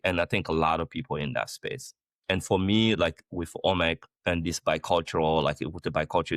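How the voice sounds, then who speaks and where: Low-voiced man from Guinea, animated guest. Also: dry recording, clean studio sound. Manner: animated, speaks with many "like" fillers and rephrasing. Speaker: podcast guest, company founder. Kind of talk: casual conversational interview. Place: the Netherlands.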